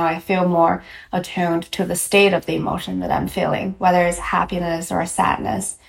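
A woman psychologist, whose first language is Mandarin Chinese, explains her views in a measured way as an interview guest. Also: on the low side, vocal fry, echoey room, poor audio. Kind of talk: interview answers.

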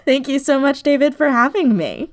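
A woman's voice: sits high, lively intonation, moderate pace. Chatty woman, effusive storyteller. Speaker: podcast guest, audio drama writer. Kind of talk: casual interview chat.

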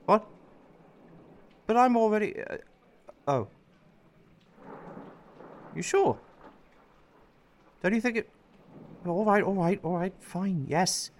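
A man's voice: sits high, lively intonation, takes it slowly, pauses often, long reverb tail, exaggerated voice. An actor playing a cynical, ambitious, two-faced male politician in a radio play, grumbling and sardonic. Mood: flustered. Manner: sardonic grumbling, swears freely.

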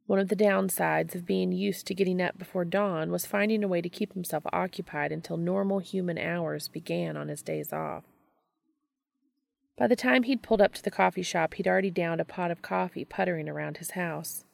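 A woman's voice: lowish voice, a little fast, creaky, no echo. A woman reading fiction aloud as a narrator, in scripted audiobook style. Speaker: reader of fan fiction audio episodes.

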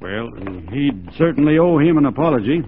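Man playing a halting, folksy cowboy on tinny old radio. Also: a little high, expressive, a little hiss or hum, close to an everyday voice. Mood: nervous, halting. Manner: halting, drawling folksy understatement. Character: laconic, modest, good-natured Texas Ranger cowboy. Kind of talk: 1940s radio drama acting. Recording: muffled, tinny 1940s radio recording.

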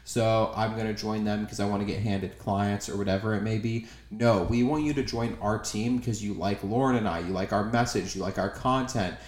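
A young man with a somewhat low voice, co-hosting a podcast in a blunt, upbeat way and swearing for emphasis. The recording sounds echoey, as if made in a roomy space. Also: steady tone, moderate pace, few pauses, a little mellow. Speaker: podcast co-host. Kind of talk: casual podcast chat.

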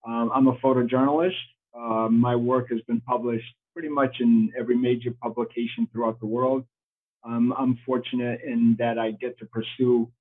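A man's voice: mid-range voice, slightly rough. A man from Portugal introducing himself as a photojournalist on a panel, modest and plain-spoken, over a tinny line. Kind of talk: self-introduction.

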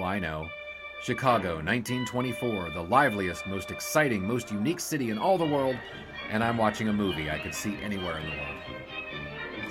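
A man's voice: on the low side, close to an everyday voice. An American man playing a wisecracking, flirtatious 1920s newspaper sportswriter in a period audio drama. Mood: wry. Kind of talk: 1920s period radio-style acting.

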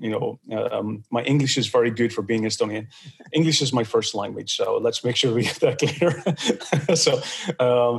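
Male host, casual podcast chat, medium pitch, loose and rambling delivery. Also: vocal fry, breathy edge. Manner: rambling, many fillers and restarts.

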